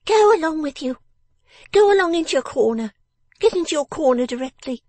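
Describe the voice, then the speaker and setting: Bossy sharp-tongued storybook girl, really high and shrill, scolds like a parent, swoops around. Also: big pitch swings, unhurried, no room sound. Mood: commanding, scolding. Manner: imperious, tart, emphatic scolding.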